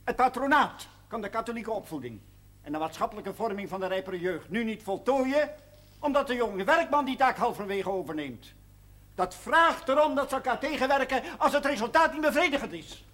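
An actor in a television period drama playing an earnest, persistent schoolmaster, formal and deliberate. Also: male voice, extremely high pitch, expressive, a bit bright. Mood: exasperated, insistent. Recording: noisy old television soundtrack.

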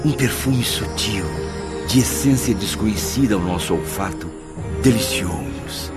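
A man's voice: fairly low pitch, animated, a little slow. An actor in a radio drama playing a spirit who narrates his memories in a florid, theatrical, savouring way. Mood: enchanted. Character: male spirit narrator, wonderstruck, reminiscing. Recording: music behind the voice.

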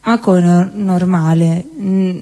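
A woman acting in a live stage dialogue, chatty and teasing, sometimes bursting into excited shrieks. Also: lowish voice, slow pace, big-room sound. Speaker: stage actor. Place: theatre stage.

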